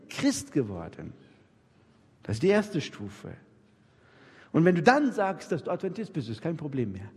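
A man's voice: fairly high pitch, darkish tone, slightly rough. A man leading an interactive church workshop, coaching his audience emphatically, repeating himself and often checking that they follow. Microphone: microphone for a live recording. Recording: reverberant hall with long echo.

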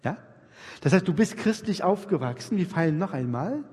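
Animated, husky man teaching in a hall. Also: on the high side, moderate pace. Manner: coaxing, emphatic, repeats himself, rhetorical check questions. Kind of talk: interactive church workshop teaching. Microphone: microphone for a live recording. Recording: reverberant hall with long echo.

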